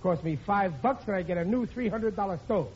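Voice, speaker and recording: A man's voice: high voice, brisk, darkish tone, close to an everyday voice. A man playing a bumbling, boastful working-class husband in 1950s radio sitcom style. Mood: smug. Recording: muffled, noisy old radio broadcast.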